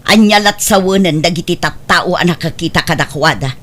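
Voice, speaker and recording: A woman's voice: lowish voice, brisk, a bit bright. A woman with an Ilocano Filipino accent playing a proud, stern mother of grown daughters in an audio drama. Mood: stern, bitter. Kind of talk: heartfelt audio drama acting.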